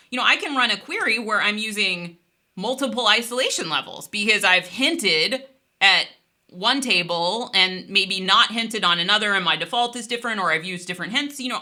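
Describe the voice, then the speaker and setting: A woman instructor explaining a technical software topic in a conversational, informal and emphatic way, as if thinking aloud. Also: medium pitch.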